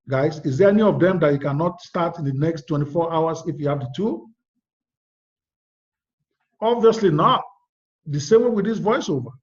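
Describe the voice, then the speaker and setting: A man's voice: fairly high pitch, dull audio. A man teaching a live online class in a direct, chatty way, often spelling out web addresses.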